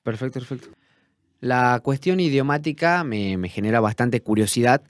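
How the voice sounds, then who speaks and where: A man's voice: medium pitch, no echo, clean studio sound. Curious Argentine male interviewer. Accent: Argentine Spanish. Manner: measured, curious questioning. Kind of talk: conversational interview.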